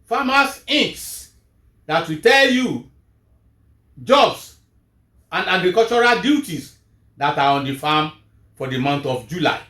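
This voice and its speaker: A man, a Yoruba speaker, giving a measured, emphatic farm advisory talk as host of a farming show. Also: sits high, a bit bright, reverberant. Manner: measured, emphatic, rising then falling at each phrase.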